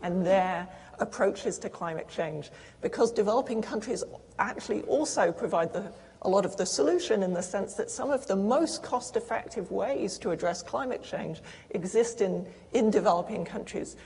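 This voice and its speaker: A woman's voice: medium pitch, lively intonation, normal speed, a little mellow, husky. A woman speaking as a panelist. She explains earnestly, with fillers and restarts. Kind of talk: panel discussion answer. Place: conference hall. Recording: long hall reverb.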